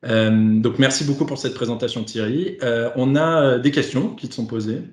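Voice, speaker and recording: A man's voice: medium pitch, moderate pace, a little mellow. Man moderating, in a roomy echo. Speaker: host and facilitator of an online webinar. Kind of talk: semi-formal webinar moderation. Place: remote video conference. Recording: roomy, echoing online call.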